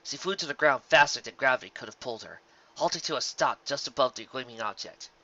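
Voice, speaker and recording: A man reading a fan fiction story aloud as narrator, in an expressive, dramatic scripted style. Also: medium pitch, fast talker, highs cut off, very noisy.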